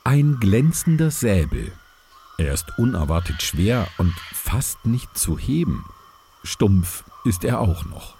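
A man with a lowish, dark voice narrates an improvised audio adventure. His intonation is lively, with faint ambience behind him. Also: takes it slowly. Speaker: narrator, game master. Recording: faint background ambient noise.

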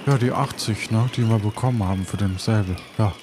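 A man playing a bumbling, casual swindler in an improvised audio play, halting and colloquial. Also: fairly low pitch, close to an everyday voice. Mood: chummy. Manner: halting, colloquial, tag questions. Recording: clear background noise.

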